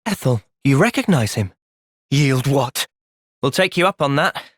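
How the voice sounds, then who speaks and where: A man voicing an earnest, thoughtful young soldier in a video game, with an English accent and a measured, sincere delivery. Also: mid-range voice, animated, dry recording. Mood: calm, earnest. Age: young adult. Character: earnest, thoughtful young male soldier, gentle but resolute. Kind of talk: video game cutscene acting.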